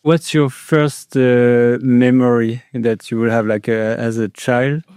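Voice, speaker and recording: A man hosting a radio interview show, asking questions hesitantly with many pauses and restarts. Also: mid-range voice, fairly even intonation, darkish tone, smooth, no room sound, studio quality.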